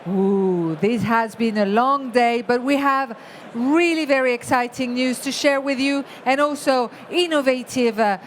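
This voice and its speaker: A woman in her forties hosting on an expo hall stage, rousing the audience in a lively way. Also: a little high, a little slow, slight background noise. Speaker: stage host. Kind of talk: event hosting.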